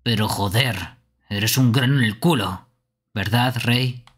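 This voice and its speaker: A man's voice: mid-range voice, slow, fairly bright tone. Man voicing a crude, impatient killer. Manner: gruff, swearing. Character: crude, impatient, foul-mouthed male killer. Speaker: streamer dubbing a game. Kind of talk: fan game dubbing.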